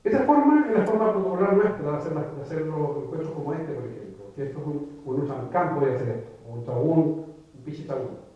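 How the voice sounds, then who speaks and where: A man's voice: a little high, expressive, fairly quick. A man giving a reflective talk to a live audience in a lecture room, often restarting and repeating words as he thinks. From Chile. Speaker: writer giving a public talk. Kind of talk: conversational lecture. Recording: echoey phone-like room recording from far off.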